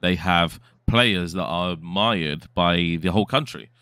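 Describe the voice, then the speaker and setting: A man's voice: deep voice, measured pace, bright, forceful tone, smooth, no echo. A man co-hosting a live futsal stream, chatting casually and reading viewer comments aloud. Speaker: host and co-commentator.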